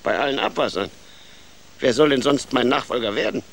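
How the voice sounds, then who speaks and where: A man's voice: medium pitch, fairly quick, gravelly, a bit bright, a little hiss or hum. A man playing a proud, pompous old grandfather rat who leads the clan in a children's radio play. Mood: put out, proud. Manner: pompous, theatrical, indignant.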